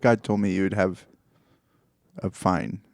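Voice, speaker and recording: A man's voice: deep voice, fairly even intonation, a little slow, gravelly, slight background noise. A man co-hosting a podcast with casual comedic banter. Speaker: podcast co-host.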